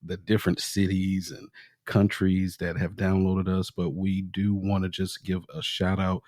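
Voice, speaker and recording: A man co-hosting a podcast, chatting casually and giving upbeat shout-outs to listeners. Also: low-pitched, monotone, measured pace, no room sound, studio quality. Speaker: podcast co-host.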